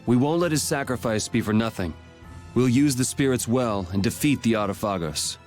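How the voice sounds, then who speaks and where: A man's voice: mid-range voice, normal speed, darkish tone, soft background music, close to an everyday voice. A man playing a cool-headed, plain-spoken young party leader in an English game dub, casual and matter-of-fact. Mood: calm, resolute.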